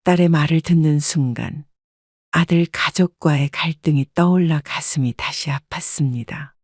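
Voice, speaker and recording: A woman calmly narrating a first-person life story in a measured, scripted way. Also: low-pitched, no echo, studio quality.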